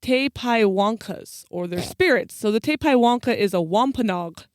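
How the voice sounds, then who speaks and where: A woman's voice: a little high, expressive, moderate pace, dry recording. A woman co-hosting a podcast, explaining folklore in a casual, chatty way.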